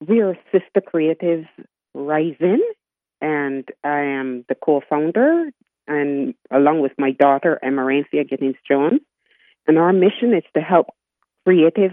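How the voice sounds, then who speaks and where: A woman in her sixties from Barbados, a guest talking in an interview, often halting and restarting her sentences. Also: low voice, dry recording. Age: 60s. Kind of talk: interview conversation. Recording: tinny phone line.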